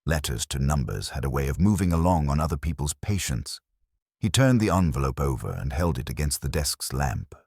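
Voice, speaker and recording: A man narrates literary fiction in a very deep voice with a husky grain. His delivery is formal and measured, with lively intonation. The sound is dry and close, free of room. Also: no room sound. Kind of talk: scripted literary audiobook narration.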